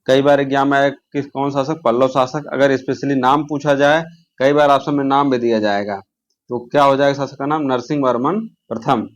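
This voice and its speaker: A man's voice: mid-range voice, fairly even intonation, brisk, dull audio. A man teaching an informal exam-prep lesson, posing questions, answering them himself and repeating key terms.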